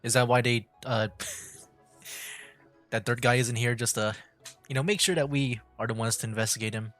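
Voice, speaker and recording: Laid-back male streamer chatting over quiet game music. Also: mid-range voice, steady tone, normal speed. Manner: giggly, rambling asides. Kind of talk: casual live-stream commentary.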